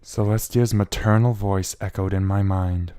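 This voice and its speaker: A man's voice: low voice, steady tone, normal speed, mellow, smooth. A man giving a brooding, theatrical first-person reading of a fan fiction story. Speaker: amateur fan fiction reader.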